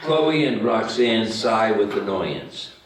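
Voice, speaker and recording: Slow male script narrator, very echoey room. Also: fairly low pitch, animated, a little hiss or hum. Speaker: stage directions narrator. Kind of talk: scripted narration at a table read. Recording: very echoey room, distant microphone.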